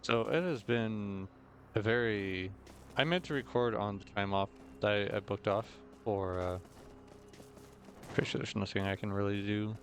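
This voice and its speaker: Young man, throat a bit rough, low-voiced, loose rambling game commentary, faint background hiss. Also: normal speed. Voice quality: slightly hoarse. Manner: rambling, self-correcting, with many fillers. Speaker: gaming video host. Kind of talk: casual gameplay commentary. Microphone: desk mic with noise gate.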